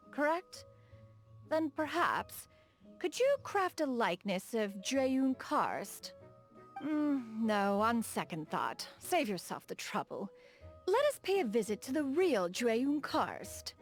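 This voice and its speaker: A woman's voice: a little high, exaggerated voice. An actress voicing a refined, dignified immortal woman and proud inventor in a video game, speaking in a formal, stately manner. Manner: formal, stately, refers to herself as "one". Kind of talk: video game character dialogue.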